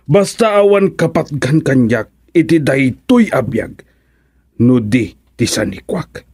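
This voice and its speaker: A man acting in a Filipino radio serial as a wealthy man proud of his hard-earned riches, with a Filipino accent and a drawn-out, emphatic delivery. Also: mid-range voice, lively intonation, darkish tone, breathy edge, dry recording, studio quality. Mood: defiant, proud.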